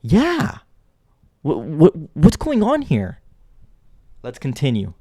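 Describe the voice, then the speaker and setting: Blunt, lecturing man with a mellow voice. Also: a little high, wildly swooping pitch, slow, dry recording, studio quality. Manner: blunt, lecturing, repeats points for emphasis. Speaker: commentator. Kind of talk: reaction commentary.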